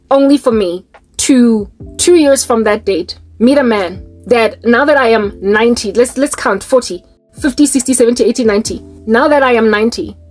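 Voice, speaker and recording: A woman's voice: a little high, low-quality recording. A South African woman in her late thirties, preaching to her viewers in an exhorting, rhetorical monologue. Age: 30s.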